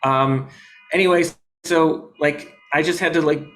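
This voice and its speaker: Man, comedian guest, deadpan, rambling, lots of fillers, chatting from home. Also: fairly high pitch, a little slow, low-quality recording. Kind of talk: casual video-call interview chat. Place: his home in New York.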